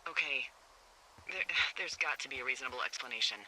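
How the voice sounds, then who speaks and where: A woman's voice: very deep voice, quick pace. A woman playing a wry, profane fire lookout supervisor over a two-way radio, in natural, conversational video game acting with stammered repetitions. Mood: disbelieving. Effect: two-way radio filter. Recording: walkie-talkie sound with faint noise.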